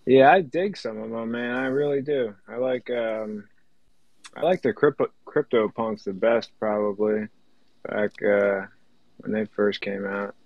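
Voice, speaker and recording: Low-voiced man, dull lo-fi sound. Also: steady tone, unhurried, no echo. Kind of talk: casual panel chat. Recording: dull lo-fi line.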